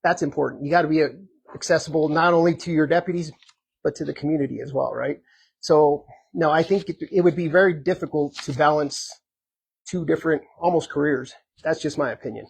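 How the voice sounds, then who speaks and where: A man running for sheriff, answering audience questions at a community meet and greet in an earnest, conversational way, hoarse and full of filler ums. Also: on the high side, a little fast, low-quality recording. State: hoarse, losing his voice. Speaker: sheriff candidate.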